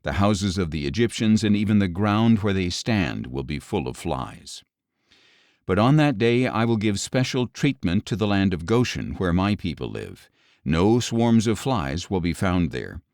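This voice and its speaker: A man with a deep voice narrates an audio scripture reading. His delivery is measured and solemn, each word clearly enunciated. The sound is dry, with no room around the voice. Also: very animated, a little mellow, no room sound.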